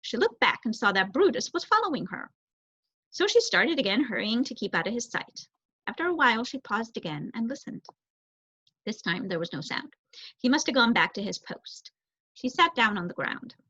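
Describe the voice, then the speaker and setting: A young woman giving an expressive reading of a children's novel as narrator. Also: fairly high pitch, moderate pace, slightly rough. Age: young adult. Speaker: story reader, student. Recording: roomy echo, dull muffled sound.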